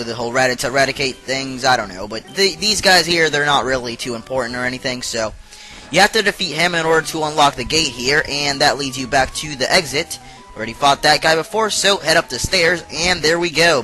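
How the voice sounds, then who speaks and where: A man's voice: medium pitch, brisk, pushed and bright, faint music behind, rough recording. A young man who is sick and has partly lost his voice, chatting casually with many fillers as he plays through a game. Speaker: let's play host.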